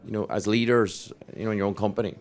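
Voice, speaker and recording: A man in the audience asking a question at a conference panel, speaking haltingly with many restarts and fillers. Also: fairly low pitch, fairly even intonation, darkish tone. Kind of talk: spontaneous question from the floor.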